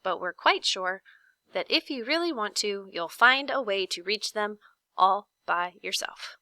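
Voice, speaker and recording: A woman voicing the unknown writer of a formal, kindly letter in a storybook, in a measured way. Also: mid-range voice, expressive, bright, forceful tone, no room sound. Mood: courteous, reassuring.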